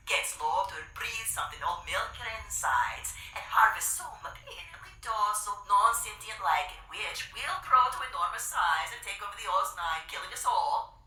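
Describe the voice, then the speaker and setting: A ranting, sarcastic woman aboard a spaceship, with morbid glee. Her delivery is piercingly bright and swings widely in pitch, with noticeable room echo. It is comic sci-fi radio acting. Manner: ranting, mocking. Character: gleefully morbid, sarcastic female spaceship crew member. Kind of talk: sci-fi comedy audio drama acting.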